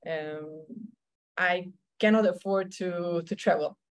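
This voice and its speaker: A woman in her thirties with a Slovenian accent, speaking as a tennis coach in a video-call interview, often pausing and repeating words as she searches for English phrasing. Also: fairly low pitch. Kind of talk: casual interview chat. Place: indoor room at home.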